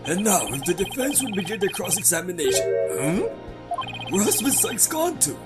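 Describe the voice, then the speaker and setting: A pompous old judge acted by a woman in a video game courtroom fan dub. He is blustery and easily flustered, his old-fashioned delivery swinging widely in pitch, with music playing underneath. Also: big pitch swings, normal speed. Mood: pompous, inquisitive. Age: older.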